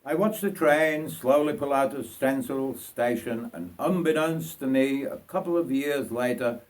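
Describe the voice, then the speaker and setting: An older man from North Yorkshire reads his own memoir aloud in a measured, reflective storytelling manner. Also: mid-range voice. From North Yorkshire, England. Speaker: writer reading own memoir. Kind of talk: scripted autobiographical storytelling.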